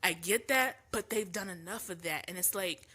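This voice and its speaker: Congested, chatty woman podcaster with a bright voice. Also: on the low side, moderate pace, slightly rough. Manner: chatty, slangy, opinionated, many ums. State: congested from allergies. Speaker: podcast host. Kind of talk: casual opinion monologue. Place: at home.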